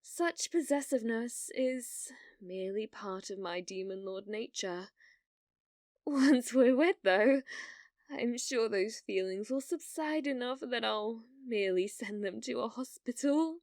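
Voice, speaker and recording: A woman's voice: on the high side, unhurried, darkish tone, no echo, clean studio sound. A woman acting in an ASMR roleplay as a delusional, possessive young woman who calls herself a reincarnated demon lord, speaking in a theatrical, formal, lovestruck way. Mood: calmly possessive.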